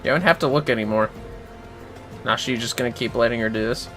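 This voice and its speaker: A man's voice: mid-range voice, quick pace, bright, forceful tone, soft background music. A man streaming a game, chatting casually. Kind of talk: casual livestream chat while gaming.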